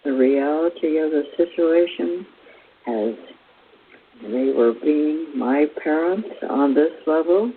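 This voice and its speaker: A man giving a spiritual lecture as a teacher, in deliberate, halting phrases with measured emphasis. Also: on the high side. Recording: hissy, tinny phone-like recording.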